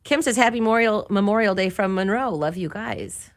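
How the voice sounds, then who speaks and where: A woman's voice: mid-range voice, animated, a little fast. A woman co-hosting a morning radio show from the studio, upbeat and laughing often as she reads out messages from listeners. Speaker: radio studio producer and co-host. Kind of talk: casual morning radio banter.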